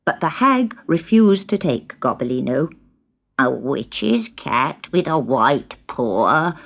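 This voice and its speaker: A woman reading a scripted children's story in an expressive, dramatic way with measured phrasing. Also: fairly low pitch, highs cut off.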